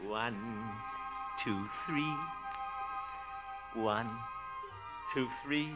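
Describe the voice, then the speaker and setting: A man's voice: medium pitch, loud music behind the voice. An American man acting in a 1950s radio comedy as a bashful, flustered middle-aged bachelor who stammers and chuckles, on an old muffled recording. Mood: bashful, giddy. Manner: stammering, chuckling bluster, drawn-out words.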